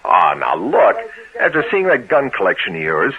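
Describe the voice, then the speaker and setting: An American actor playing an eager, nervous friend in a 1960s radio drama, on a thin old recording. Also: male voice, on the low side, huge pitch swings, normal speed, narrow, tinny audio. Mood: anxious. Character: eager, nervous male friend giving a gift.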